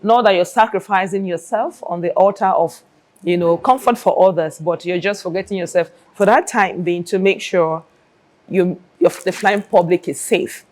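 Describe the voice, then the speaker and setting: A woman's voice: lowish voice, normal speed, darkish tone. A Nigerian-accented woman, an air traffic controller, explaining her work in an interview and repeating phrases for emphasis.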